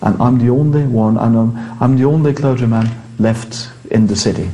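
A male priest with a Norwegian accent and a very mellow, relaxed voice. He talks in an interview, explaining in a conversational way and often stopping to rephrase. His pitch sits in an ordinary male range. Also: normal speed. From Norway.

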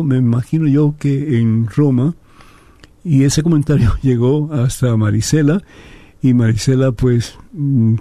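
Mellow-voiced priest, very dark soft tone. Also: male voice, mid-range voice, no room sound. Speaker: priest hosting a call-in programme. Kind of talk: devotional prayer and unscripted interview. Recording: soft new-age music behind the voice. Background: new-age music.

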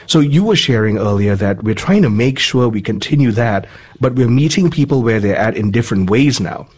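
A man's voice: medium pitch, lively intonation, a little fast, a little mellow, husky. A man from Malaysia hosting a radio interview, talking earnestly and chattily with frequent restarts, into a studio microphone. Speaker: radio host.

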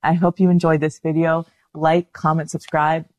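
A woman's voice: low voice, level tone, normal speed, a little mellow, no echo. A woman presenting an online video about a saint in a chatty, enthusiastic way. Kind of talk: casual talk about a saint.

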